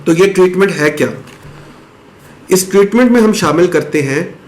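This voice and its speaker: A man explaining a home remedy step by step in a casual, chatty way, now and then clearing his throat. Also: high voice, normal speed, a little hiss or hum. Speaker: health advice presenter. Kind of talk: casual explanatory talk to camera.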